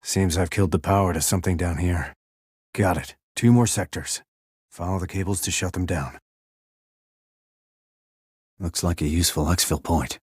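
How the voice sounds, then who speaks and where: A man playing a terse, calm military sniper in a video game, clipped and controlled, reporting in tactical radio calls. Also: normal speed, long pauses, no echo, clean studio sound. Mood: cool, businesslike. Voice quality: low, husky. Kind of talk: tactical radio call acting.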